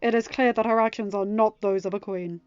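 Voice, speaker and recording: A woman voicing a resolute male royal in a storybook reading, measured, formal and firm. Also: mid-range voice, steady tone, clear, resonant tone, dry recording, dull audio, soft background music, close to an everyday voice.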